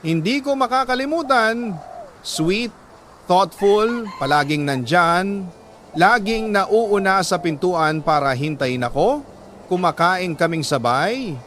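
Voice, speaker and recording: A Filipino man with a slightly high voice narrates a heartfelt letter in the manner of a storytelling host. He speaks deliberately and emotively, pausing between short phrases. There is some background noise behind him. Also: expressive, a bit bright. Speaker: radio-style host reading listener letters. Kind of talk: dramatic narration of a listener's confession letter.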